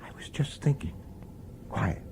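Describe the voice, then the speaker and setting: An actor playing an irritable, sardonic hard-boiled police detective in a radio drama, gruff and impatient. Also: male voice, unhurried, whispered, close to an everyday voice. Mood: tense, reassuring. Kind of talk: old-time radio drama acting and narration.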